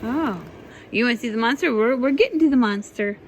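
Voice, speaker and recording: A woman in her thirties reading a storybook aloud in an animated, drawn-out way, breaking off to chat with young children. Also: fairly high pitch. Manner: animated, drawn-out delivery with exclamations. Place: at home among young children.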